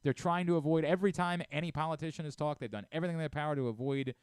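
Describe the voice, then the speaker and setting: A man's voice: fairly high pitch, rapid-fire, clean tone, dry recording, studio quality. A man hosting a sports radio show in casual discussion, emphatic and repeating phrases to press his point. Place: radio studio.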